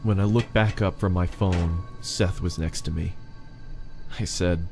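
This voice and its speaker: Low-voiced guy telling a creepy story, bit of vocal fry, music playing behind him. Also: fairly even intonation, unhurried. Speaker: narrator of a first-person horror story. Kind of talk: scripted scary-story narration.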